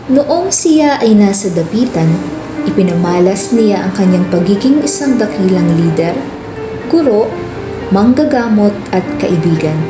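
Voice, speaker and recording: A woman's voice: medium pitch, animated, unhurried, lightly acted. Woman as teacher narrator in echoey room. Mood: earnest. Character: female history teacher narrating a hero's story. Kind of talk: scripted narration in amateur radio drama. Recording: echoey room, music behind.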